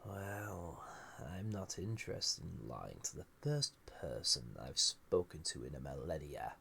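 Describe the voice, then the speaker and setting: A man playing an ancient banished villain, a magical being, in an intimate audio roleplay, musing theatrically with a gravelly, husky, breathy voice. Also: rumbling low, sing-song, measured pace, bright, forceful tone, a little hiss or hum, heavily acted. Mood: grave, menacing. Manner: musing, theatrical, drifting into breathy near-whispers. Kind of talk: scripted audio roleplay, intimate monologue to listener.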